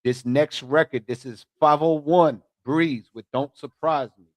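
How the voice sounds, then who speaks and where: A man's voice: a little high, steady tone, a little slow, dry recording. A man hosting a live music review show, affirming his co-host and introducing the next guest and song.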